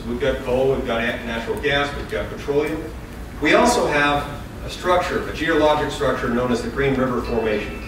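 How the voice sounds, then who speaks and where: Man, 30s, American; politician making his case at a town hall, listing points; distant, roomy, hissy recording. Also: mid-range voice. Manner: emphatic, lists points in runs. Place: large hall with audience. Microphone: far camera, no mic seen. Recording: echoey hall from far off, hiss.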